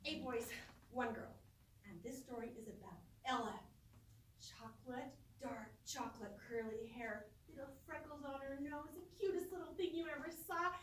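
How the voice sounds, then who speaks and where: A middle-aged woman giving a humorous storytelling speech to a live audience, expressive and theatrical, with dramatic pauses and drawn-out words. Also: high voice. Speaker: speech contest competitor. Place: roomy indoor space before an audience. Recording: echoey room, distant microphone, faint noise.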